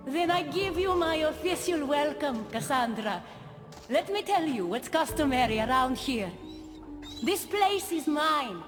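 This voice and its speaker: A woman's voice: sits high, expressive, measured pace. A woman playing a poised, gracious, possessive goddess queen of a paradise realm in a video game cutscene. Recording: long reverb, music behind.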